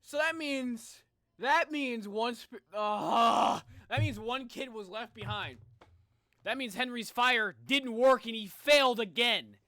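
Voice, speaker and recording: A young man giving casual, excitable live reaction commentary, exclaiming and thinking aloud. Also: very high-pitched, slow, dry recording. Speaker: reaction video host.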